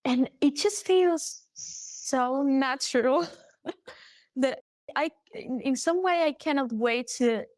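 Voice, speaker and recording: A young woman from Galicia with a Spanish accent, a native Galician speaker, chatting as an interview guest, animated and laughing often. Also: high-pitched, slow pace, dry recording. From Galicia, Spain. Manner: animated, laughs often, restarts her sentences. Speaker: guest singer. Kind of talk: casual interview chat.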